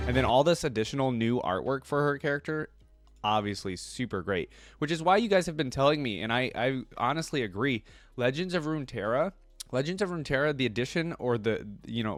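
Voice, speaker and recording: A man's voice: mid-range voice, no room sound. A man hosting a casual reaction video, talking in a rambling, chatty way with lots of fillers and restarts. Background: faint music playing.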